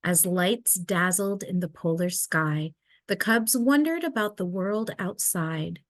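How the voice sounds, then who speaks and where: A woman reading a children's picture book aloud in an expressive storytelling style, drawing out the ends of her phrases. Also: fairly low pitch, takes it slowly, dry recording, studio quality.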